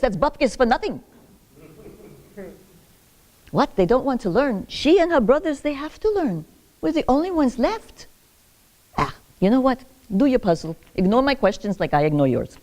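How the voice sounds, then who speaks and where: A sharp-tongued old grandmother, played by an actress, scolding and joking in a stage monologue. Her voice is warm and mellow. Her pitch swings up and down. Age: older. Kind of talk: theatrical monologue.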